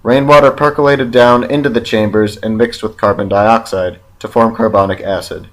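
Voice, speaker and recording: A man narrating an educational film from a script in a measured, factual, read-aloud way. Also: lowish voice, fairly even intonation, a bit bright.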